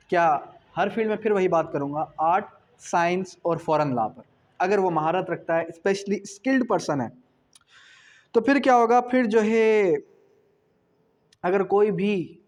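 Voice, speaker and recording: High-pitched man lecturing. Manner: didactic, asks and answers own questions; repeats key phrases for emphasis. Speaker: law lecturer. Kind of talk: explanatory exam-prep lecture.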